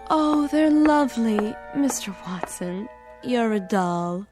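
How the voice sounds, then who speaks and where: High-voiced, coy young office woman. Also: lively intonation, slow pace, music in the background. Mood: sweet. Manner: coy, playful. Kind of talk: radio drama acting.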